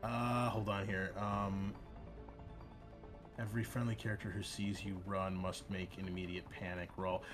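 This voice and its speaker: A man's voice: lowish voice, little pitch movement, moderate pace, over music. A man acting as game master, narrating the action and talking through rules casually in a tabletop roleplaying session.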